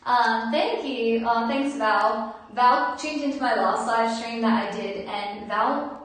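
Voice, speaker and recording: A woman's voice: fairly high pitch, slow pace. An Australian woman chatting casually and rambling informally to viewers as the host of a live stream. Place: indoors at home. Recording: very echoey room, distant microphone.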